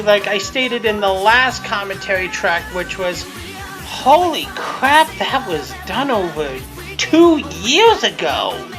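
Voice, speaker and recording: A woman giving a casual, unscripted commentary in a rambling, blunt way, often restarting and repeating her phrases. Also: fairly high pitch. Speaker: creator of a fan abridged series.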